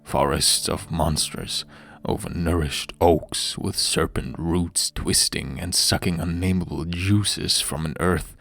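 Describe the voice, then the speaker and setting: A man's voice: low-pitched, vocal fry. A man reading a horror story as a dramatic narrator, grave and theatrical, in the manner of an audiobook. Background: background music.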